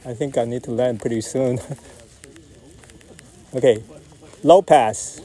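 A man flying a model jet outdoors, calmly calling out each maneuver in short phrases as he flies. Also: medium pitch, slow pace. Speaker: RC jet pilot. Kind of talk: live flight commentary. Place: outdoors at an RC airfield.

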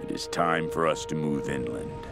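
A man's voice: very deep voice, creaky, music in the background. An actor playing a fanatical, mad cult leader obsessed with a queen, delivering a brooding, menacing diary monologue for a video game. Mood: calculating, intense. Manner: slow, brooding, menacing, intense.

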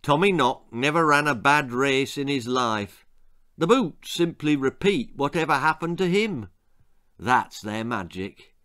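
A man's voice: medium pitch, lively intonation, measured pace, sharp tone. A man voicing a cryptic, crafty old running coach in a children's storybook, evasive and commanding. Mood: assured.

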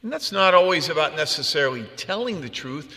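Male priest, higher-pitched and forceful, conversational homily delivery in a big reverberant space. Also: expressive, a little fast. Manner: conversational, plain-spoken, rhetorical questions. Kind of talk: homily with personal anecdotes. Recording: long reverb of a large room.

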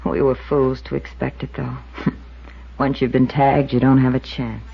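A woman playing a wistful, earnest young waitress in 1950s radio drama, soft and hesitant in manner. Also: extremely low pitch, flat delivery, moderate pace, creaky, slightly rough, dull audio, exaggerated voice. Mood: resigned.

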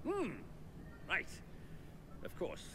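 A man voicing a pompous, flustered male headmaster who blusters and hesitates, clearing his throat. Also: very slow, background noise.

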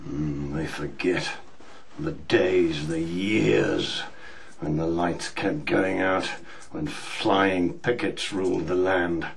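An actor playing a stoical, enigmatic senior servant in a radio drama, measured, dry and portentous. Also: male voice, sits low, gravelly, a little hiss or hum, full character voice. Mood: grave, nostalgic.

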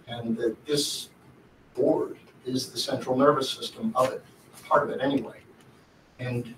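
Earnest older man, distant echoey room. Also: mid-range voice. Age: 60s. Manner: earnest, rambling, with restarts. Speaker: board applicant. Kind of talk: public comment at a meeting. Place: school board meeting room. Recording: echoey room from far off, noisy.

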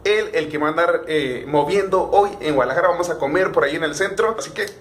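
A man's voice: a little high, fast talker, a bit bright, a little hiss or hum. A young man, chatting cheerfully to a phone camera as a travel vlogger. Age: young adult. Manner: cheerful, chatty, keeps saying "compadre". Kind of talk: casual travel vlog. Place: city streets, car and airport, in and out of doors. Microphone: phone camera at arm's length.

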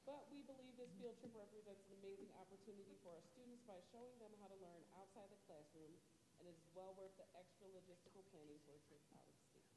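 A woman teacher with a loud voice presenting a field trip proposal to a school board in an upbeat, brisk way. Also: medium pitch, fairly even intonation. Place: large school auditorium. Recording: echoey auditorium with long reverb and background noise.

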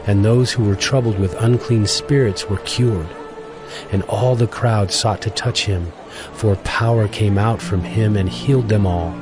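A man narrating scripture for a dramatized audio Bible in a measured, formal storytelling manner. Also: lowish voice, fairly even intonation. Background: music.

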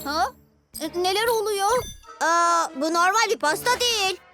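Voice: very high-pitched, expressive, unhurried, pushed and bright, soft background music, heavily acted. A performer playing an eager, self-assured six-year-old superhero boy in a cartoon dub. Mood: excited, scheming. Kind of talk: animated cartoon dub acting.

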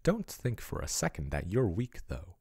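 A man in an ASMR boyfriend roleplay, voicing a male AI chatbot who talks softly and intimately, growing possessive. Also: sits low, wildly swooping pitch, moderate pace, breathy edge, dry recording, clean studio sound, heavily acted. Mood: protective. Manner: soft, intimate, doting, turning possessive. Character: male AI chatbot, soothing then possessive yandere.

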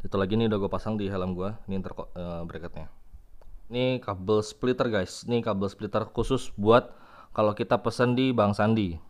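A young man. He talks informally, like a vlogger showing a product to friends. His delivery is chatty and casual, in a home-recorded review style. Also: lowish voice. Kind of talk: casual unboxing and product demo. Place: room at home with tiled floor.

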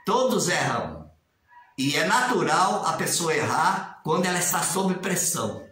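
Older Brazilian man, 60s, animated casual commentary, high bright voice, very echoey tiled room. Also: measured pace. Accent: Brazilian Portuguese. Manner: animated, gestures a lot, mimics formal prayers in a raised sing-song voice. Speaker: religious commentator. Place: small tiled room indoors. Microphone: webcam at desk distance.

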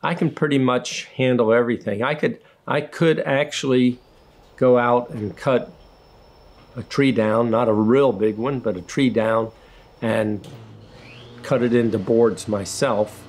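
An older man, a retired engineer, reflecting slowly on his woodworking in a documentary interview, with many pauses and restarts. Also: medium pitch, darkish tone. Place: woodworking shop in a farm building.

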